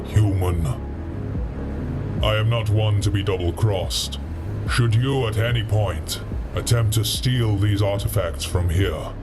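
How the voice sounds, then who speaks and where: A man's voice: on the low side, takes it slowly. A man playing a proud, menacing alien shipmaster in a sci-fi audio drama, solemn and full of contempt. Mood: stern warning. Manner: solemn, imperious, contemptuous of humans. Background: music.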